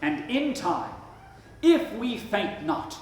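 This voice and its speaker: An actor playing an earnest, dignified 1920s small-town lawyer giving a solemn, measured dedication speech on stage. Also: male voice, high voice, big pitch swings, a little mellow, slight background noise, heavily acted. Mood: earnest exhortation. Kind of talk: formal ceremonial oratory on stage. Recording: very echoey hall with long reverb.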